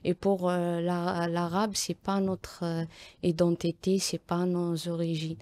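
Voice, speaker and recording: A young woman from Algeria speaks, her being Tamazight. Her voice sits low and barely moves in pitch, with a soft, dark tone. She answers interview questions haltingly, full of fillers and restarts. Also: flat delivery. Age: young adult. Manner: hesitant, frequent fillers and restarts. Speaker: guest author.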